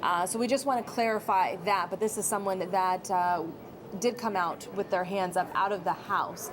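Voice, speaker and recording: A woman's voice: mid-range voice, steady tone, normal speed, noisy background. An American woman anchoring live, unscripted breaking news coverage.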